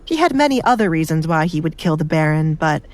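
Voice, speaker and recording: A woman's voice: deep voice, big pitch swings, a little fast, a little mellow. A woman reading a scripted dramatic story as an audiobook narrator.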